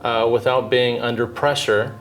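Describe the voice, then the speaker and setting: A man in his thirties from Southern California, a dentist answering interview questions in a measured, thoughtful way with frequent filler pauses. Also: medium pitch, flat delivery, faint music behind. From Southern California, United States. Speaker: dentist and orthodontist guest.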